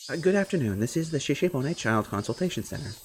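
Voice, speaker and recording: A man's voice: a little high, lively intonation, quick pace, a little hiss or hum, pitched above the performer's own voice, close to an everyday voice. A man voicing a polite but firm social worker who speaks formally and insistently.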